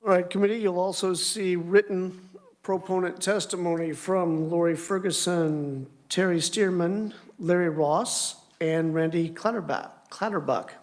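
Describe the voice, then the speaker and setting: A man with a notably high voice chairs a legislative hearing. He moves briskly through the testimony list with casual, offhand remarks. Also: fairly even intonation. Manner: brisk, informal procedural patter. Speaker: committee chair.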